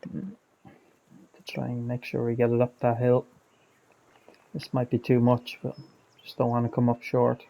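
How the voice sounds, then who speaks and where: A man narrates his golf game at a desk mic, speaking in a flat, mellow tone. He keeps up a chatty, self-critical play-by-play while sounding a little hoarse, as if he is losing his voice. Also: mid-range voice, a little slow, long pauses, rough recording. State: losing his voice, clearing throat. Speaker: gaming channel host. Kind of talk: gameplay commentary.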